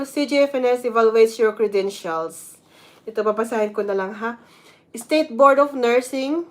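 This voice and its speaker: Filipino woman vlogger mixing Tagalog. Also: fairly high pitch. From Philippines. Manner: switches between Tagalog and English, reads parts aloud, chatty with fillers. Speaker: vlogger, nurse. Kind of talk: casual instructional vlog.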